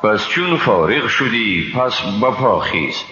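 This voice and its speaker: A man's voice: medium pitch, very animated, pushed and bright. Afghan dari guy reading holy text translation, solemn n formal, voice swings a lot, big reverb. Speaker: translation reader of scripture. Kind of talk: solemn scripted recited translation. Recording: muffled, echoey reverb, hissy background.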